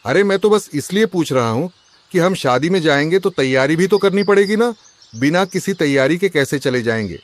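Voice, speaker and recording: A man playing an agitated, suspicious rich merchant husband in a cartoon, loud and complaining. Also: a little high, animated, normal speed, close to an everyday voice. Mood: casual, agreeable. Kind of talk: Hindi cartoon story voice acting.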